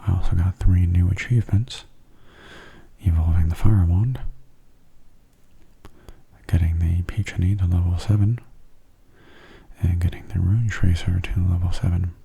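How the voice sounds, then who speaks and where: Very soft, husky low-voiced man. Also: fairly even intonation, measured pace, pauses often. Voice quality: breathy, hushed, often half-whispered. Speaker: video game streamer, ASMR host. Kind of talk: soft-spoken ASMR gameplay commentary.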